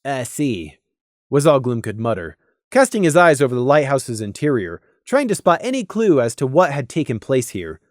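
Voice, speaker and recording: Low-voiced woman narrating a story. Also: very animated, brisk, a little mellow, dry recording, clean studio sound. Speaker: audiobook narrator.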